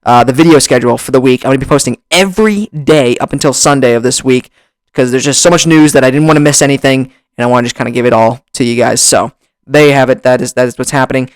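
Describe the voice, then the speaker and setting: A man hosting a casual vlog, talking excitedly with lots of restarts, his voice slightly hoarse from long recording, close on a desk mic. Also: medium pitch, quick pace, dry recording. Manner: excitable, rambling with many restarts. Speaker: YouTuber. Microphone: desk mic, bumped at times.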